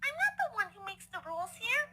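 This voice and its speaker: A woman's voice: very high-pitched, very animated, fast, pitched above the performer's own voice. A woman voicing a chirpy, murderous young female cartoon pony who gushes in a bubbly, girlish way in a fan audio drama. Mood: bubbly, chatty.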